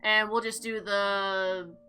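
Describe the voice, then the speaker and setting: A woman hosting a game playthrough, mixing informal commentary with theatrical, expressive reading aloud. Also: medium pitch, slow, soft background music. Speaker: gaming video host.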